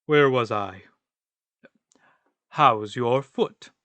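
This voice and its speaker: A man performs a philosophizing tramp in a radio play, pedantic and exasperated. He speaks slowly and emphatically, pressing each point. The recording is dry, with no room sound. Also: medium pitch, a bit bright, dry recording. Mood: prodding. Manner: emphatic, ponderous, insistent.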